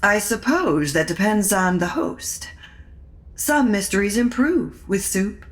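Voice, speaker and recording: A woman's voice: medium pitch, animated, slow. Woman as sardonic society lady, swooping delivery. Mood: dryly cutting. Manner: dry, arch, sardonic. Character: witty, cutting, aloof society lady guest. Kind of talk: audio drama acting.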